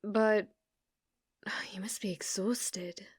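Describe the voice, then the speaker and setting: A British woman acting in an ASMR roleplay as a sweet, shy, secretly obsessive shop clerk, soft-spoken, hesitant and apologetic. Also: medium pitch, steady tone, drawn-out words, breathy edge, no echo, studio quality. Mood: curious, concerned.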